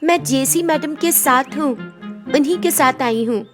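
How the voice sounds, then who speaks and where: A woman's voice: sits high, a little slow, faint music behind, voice pushed up. A woman voicing a kind, earnest young servant girl with a deferential manner in a story. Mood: polite, forgiving. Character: kind young servant girl, cheerful despite a painful past.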